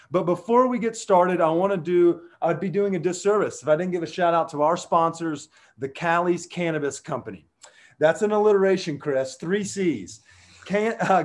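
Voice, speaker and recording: A man hosts a casual podcast. His voice sits high for a man, and he talks with loud, excited bursts. He drops in slang as he goes. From US South. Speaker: podcast host. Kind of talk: casual podcast chat and sponsor read.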